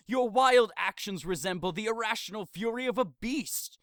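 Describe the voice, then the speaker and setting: A man's voice: high-pitched, moderate pace, fairly bright tone, no room sound, studio quality. A man playing an exasperated, scolding priest in a modern-language Shakespeare audio drama, speaking emphatically.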